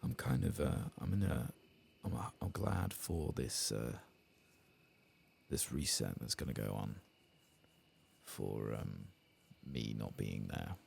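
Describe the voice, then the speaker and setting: A soft-spoken streamer giving murmured, half-whispered ASMR commentary on a game, close to the microphone. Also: very deep voice, expressive, slow, creaky, a little hiss or hum. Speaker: gameplay streamer.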